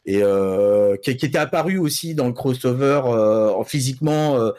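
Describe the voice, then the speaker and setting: A man chatting casually as a panellist on a pop-culture news show, halting and repeating words as he builds his sentences. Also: mid-range voice, a little mellow, smooth. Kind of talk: casual group chat.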